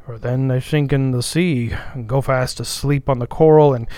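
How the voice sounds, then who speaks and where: Storybook role: man playing a grumbling cook of ninety, folksy speech, sullen and tired, medium pitch. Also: steady tone, normal speed, mellow, no room sound, lightly acted. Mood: preaching, weary. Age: 90s. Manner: folksy dialect, grumbling and muttering. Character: sullen ninety-year-old ship's cook, grumbling and weary. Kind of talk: audiobook character voice.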